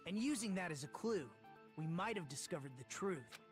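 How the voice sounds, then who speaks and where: Earnest young student detective, high voice, serious game dialogue with music behind. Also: male voice, expressive. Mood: serious, explaining. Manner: deliberate, explanatory, serious. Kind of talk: English video game voice acting. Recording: game audio under music.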